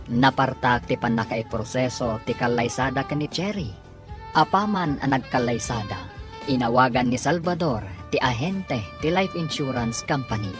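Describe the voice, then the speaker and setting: A woman with a Filipino accent giving scripted dramatic narration for a serial drama. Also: rumbling low.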